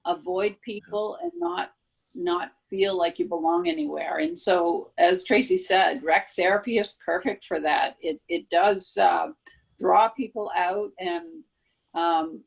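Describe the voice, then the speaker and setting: Husky, gravelly-voiced woman on radio. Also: lowish voice, expressive, unhurried, poor audio. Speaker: supportive housing staff, co-host. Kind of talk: radio interview. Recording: radio studio interview.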